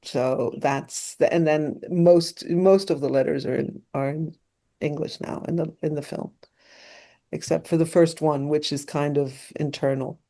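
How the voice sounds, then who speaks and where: Interview guest: French-born woman, sixties, French first language, mellow voice, halting with fillers and restarts. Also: low-pitched, steady tone. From France. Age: 60s. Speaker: documentary filmmaker guest. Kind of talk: casual interview conversation.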